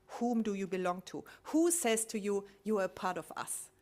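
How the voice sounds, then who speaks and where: Woman speaker, mid-pitched, thoughtful, halting delivery with restarts, poses rhetorical questions to the room. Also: animated, a little mellow. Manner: reflective, posing rhetorical questions. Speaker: conference speaker. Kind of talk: public talk sharing collected testimonies.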